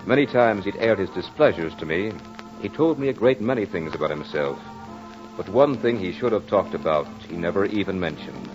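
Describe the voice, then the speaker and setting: A man's voice: deep voice, lively intonation, normal speed. An actor playing a French nobleman and former soldier in an old radio drama, speaking earnestly in a formal, theatrical way. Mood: tender, ardent. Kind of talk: 1940s radio drama acting. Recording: dull old radio recording with music.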